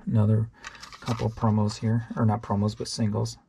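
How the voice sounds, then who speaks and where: A man's voice: lowish voice, level tone, fairly quick, creaky, mellow, slight background noise. A man, chatting casually and thinking aloud as he sorts through items for resale. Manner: rambling and offhand, thinks aloud while sorting tapes. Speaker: online reseller. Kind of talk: casual show-and-tell vlog.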